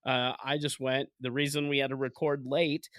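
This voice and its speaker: A man's voice: medium pitch, little pitch movement, moderate pace, fairly bright tone, dry recording, studio quality. A man co-hosting a comedy podcast, rambling casually with lots of fillers and frequent laughter. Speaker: podcast co-host.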